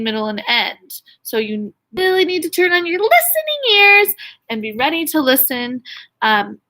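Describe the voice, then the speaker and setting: A woman's voice: fairly high pitch, a bit bright. A woman teacher reading a story aloud to young children, expressively, with questions and asides. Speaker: elementary school teacher.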